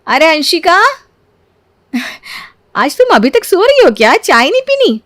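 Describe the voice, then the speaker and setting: An anxious young-family sister-in-law from a cartoon tale, acted by a woman. She pleads and exclaims in a very high voice, close and dry. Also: sing-song, measured pace, fairly bright tone, no room sound, full character voice. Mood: calling, curious. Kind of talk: cartoon moral story voice acting.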